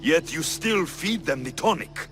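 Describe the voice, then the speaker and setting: An actor playing a blunt, wry mercenary hero in a video game set in ancient Greece, dry and direct. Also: male voice, a little high, animated, unhurried, over music. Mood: firm, probing. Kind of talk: video game character acting.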